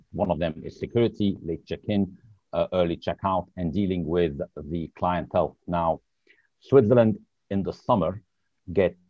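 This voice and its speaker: A man from Lebanon speaks in a very deep voice, pausing now and then to find the right word as he talks about his work. His voice sounds dry and close, through a dull, lo-fi remote line. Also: no room sound. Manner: searches for words, hesitant. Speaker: podcast guest. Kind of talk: casual interview chat.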